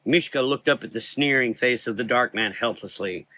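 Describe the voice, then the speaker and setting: A man's voice: mid-range voice, normal speed, bright, forceful tone, dry recording. A man narrating a gothic horror story in a dramatic, scripted storytelling style.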